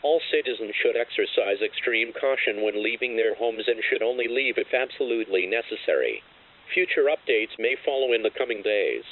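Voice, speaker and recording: A man's voice: lowish voice, expressive, brisk. A man reading a scripted emergency broadcast announcement in a formal, impersonal, synthetic-sounding way. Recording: tinny, phone-like narrow-band alert broadcast.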